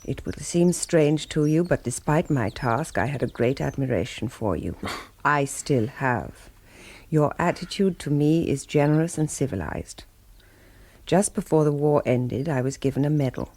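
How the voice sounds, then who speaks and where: An actor playing a courteous, wistful former German wartime agent, speaking in a measured, formal and reflective way. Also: male voice, fairly high pitch, lively intonation, a little mellow. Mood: wistful, respectful. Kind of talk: radio drama, letter read in voice-over.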